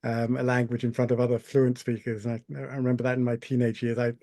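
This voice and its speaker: Guy chiming in on a video panel, mid pitch, lots of repeats, dry sound. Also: little pitch movement, fast talker, dark tone, no room sound. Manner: hesitant, repeats words, thoughtful. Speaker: panel participant. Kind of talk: online panel discussion. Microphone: video call.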